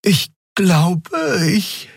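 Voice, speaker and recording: A man voicing a gentle female dragon pilot. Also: sits high, very animated, drawn-out words, creaky, husky, dry recording, voice pushed up, exaggerated voice. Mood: concerned. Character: calm female dragon-kin ship pilot.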